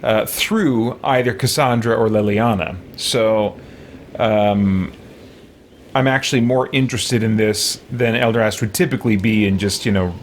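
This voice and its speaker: A man's voice: fairly low pitch, a little slow, a little hiss or hum. A man hosting a roleplay let's play, thinking aloud in casual commentary. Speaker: gaming channel host.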